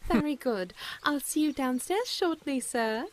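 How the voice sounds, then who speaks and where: A woman's voice: high-pitched, animated, a little slow, a little hiss or hum. A woman playing a polite, deferential young lady of a household in a period audio drama, speaking with formal courtesy. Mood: polite, cheerful.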